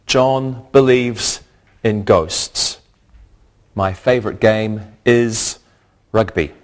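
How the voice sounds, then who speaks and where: A man teaching an English vocabulary lesson, speaking deliberately and repeating words. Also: on the low side. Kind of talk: slow, clear language lesson.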